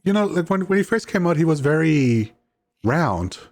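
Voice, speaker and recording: A man's voice: a little high, sing-song, moderate pace, a little hiss or hum. A man hosting a podcast who chats casually and in a friendly way, often restarting his words. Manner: friendly, hesitant, repeats words. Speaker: podcast host.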